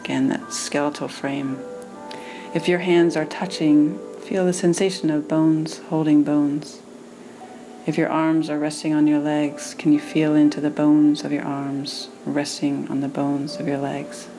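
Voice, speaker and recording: A woman meditation teacher leading a guided meditation in a soothing manner, with gentle pauses between phrases. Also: low-pitched, level tone, over music, rough recording.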